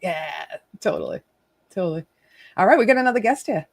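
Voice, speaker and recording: Animated, teasing woman stream host. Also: mid-range voice, a little fast, gravelly, no echo, studio quality. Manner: bubbly, laughs often, teasing. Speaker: livestream host. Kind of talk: casual live-stream chat.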